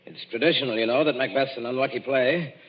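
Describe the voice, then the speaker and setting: An actor playing a vain, blustering stage star in an old radio drama, talking in a theatrical way. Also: male voice, medium pitch, quick pace, slight background noise, natural voice. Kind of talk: 1940s radio acting. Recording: dull old radio broadcast, reverberant.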